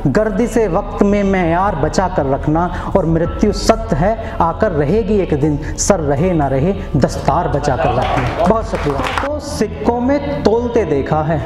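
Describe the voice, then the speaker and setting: High-voiced young man reciting poetry. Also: expressive, a little slow, hardly any pauses, roomy sound, long reverb tail, over music. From Uttar Pradesh, India. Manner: declamatory, repeats lines for emphasis. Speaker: poet. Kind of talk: open mic poetry recitation. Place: small indoor open mic venue. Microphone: stand mic.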